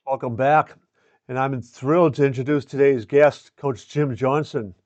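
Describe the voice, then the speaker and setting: An older man speaking as a show host and coach, with an earnest, deliberate way of talking. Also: mid-range voice, fairly even intonation, a little mellow, dry recording, lo-fi sound. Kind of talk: scripted promo and introduction, then casual interviewing.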